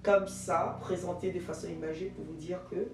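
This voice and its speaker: Animated woman guest, echoey studio. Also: on the low side, moderate pace, far from the mic, a little hiss or hum. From Ivory Coast. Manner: animated storyteller with many fillers and restarts. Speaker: guest, jurist and teacher. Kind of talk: interview answers.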